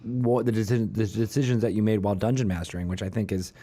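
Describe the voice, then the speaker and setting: A man's voice: on the low side, steady tone, fast, warm tone. A man hosting an interview show, chatting casually and thinking aloud with frequent asides. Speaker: talk show host.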